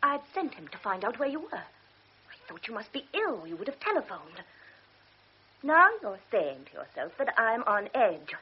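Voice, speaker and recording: An actress playing a proud, sharp-tongued invalid young woman who speaks intensely and reproachfully in a classic radio drama. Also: mid-range voice, big pitch swings, breathy edge. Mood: reproachful, angry. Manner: intense, reproachful, quick to flare. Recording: dull old radio recording.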